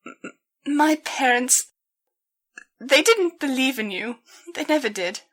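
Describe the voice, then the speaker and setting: A young female pony from a dramatized story acted out by a woman, distraught and weighed down by guilt. Her voice sits high and she stammers, hesitating as she forces words out in pleading apology. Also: expressive, takes it slowly, fairly bright tone, heavily acted. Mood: distraught, tearful.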